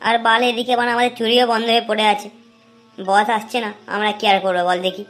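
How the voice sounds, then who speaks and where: A woman's voice: fairly high pitch, fairly even intonation, quick pace, fairly bright tone, faint music behind, close to an everyday voice. A woman voicing a grumbling, sly petty thief in a comic cartoon.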